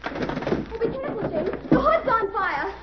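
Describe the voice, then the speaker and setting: High-voiced, earnest American assistant sleuth. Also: female voice, big pitch swings, unhurried, full character voice. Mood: alarmed. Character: earnest, anxious young female assistant sleuth. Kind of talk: 1940s radio acting. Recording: muffled, noisy old radio recording.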